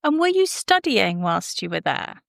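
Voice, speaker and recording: Slow-speaking enthusiastic woman host. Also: mid-range voice, very animated, gravelly, dry recording, clean studio sound. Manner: enthusiastic, encouraging. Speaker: podcast host. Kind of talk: podcast interview.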